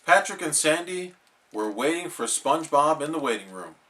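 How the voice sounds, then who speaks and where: A man's voice: fairly high pitch, big pitch swings, moderate pace, fairly bright tone. A man reading a children's storybook aloud as a bedtime storyteller.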